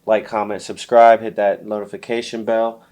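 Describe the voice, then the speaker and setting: A man co-hosting a casual fantasy football show, sounding stuffy from allergies, relaxed with many fillers and small laughs. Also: fairly low pitch, moderate pace. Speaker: podcast co-host.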